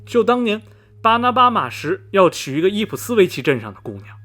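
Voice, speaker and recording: Animated, gossipy middle-aged townsman played by a man. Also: sits high, soft background music, exaggerated voice. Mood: gossipy, dismissive. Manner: gossipy, sighing exclamations, drawn-out dramatic phrasing. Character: gossipy middle-aged small-town Vermont man, superstitiously repelled yet skeptical. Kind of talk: radio drama acting, animated storytelling.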